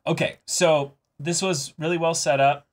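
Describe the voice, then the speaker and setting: A man's voice: on the high side, steady tone, normal speed. A man co-hosting an anime reaction show, talking casually and emphatically and slipping into colloquial imagined dialogue. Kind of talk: casual discussion of an anime episode.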